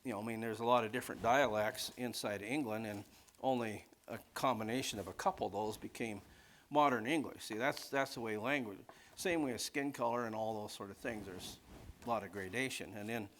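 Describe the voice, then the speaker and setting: A man's voice: on the low side, fairly quick, a bit bright, slight background noise. A man preaching in an informal teaching style, rambling conversationally off the cuff and often checking in with his listeners. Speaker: preacher teaching a Bible class.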